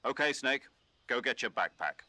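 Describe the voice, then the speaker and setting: A man playing a calm commanding officer. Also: medium pitch, background noise, close to an everyday voice. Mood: steady. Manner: measured, instructive briefing tone. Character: calm British male commanding officer on the radio. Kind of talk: game dialogue.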